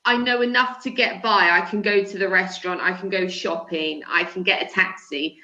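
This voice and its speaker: A woman's voice: fairly low pitch, fairly even intonation, normal speed, bright, forceful tone. A middle-aged woman from England with an English accent, chatting casually on a video call as a conversation partner. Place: home in Turkey. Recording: echoey room, dull lo-fi sound.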